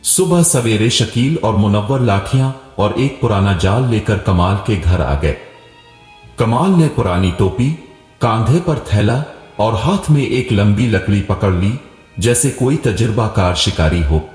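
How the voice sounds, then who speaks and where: Low-pitched male storyteller in long reverb. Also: animated, unhurried, darkish tone. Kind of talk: scripted moral story narration. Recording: roomy echo with long reverb, music behind. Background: music.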